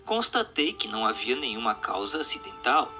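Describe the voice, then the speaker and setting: A Brazilian man reading a scripted audiobook narration in a deliberate, articulate way with emphatic phrasing. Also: mid-range voice, sing-song, pushed and bright, soft background music.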